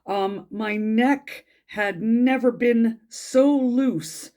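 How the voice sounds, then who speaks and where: A middle-aged Englishwoman, a tarot reader, chatting in a casual vlog with a croaky throat from a cold, rambling and gushing with many ums and restarts. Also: on the high side, very slow, a little mellow. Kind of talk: casual weekly vlog chat.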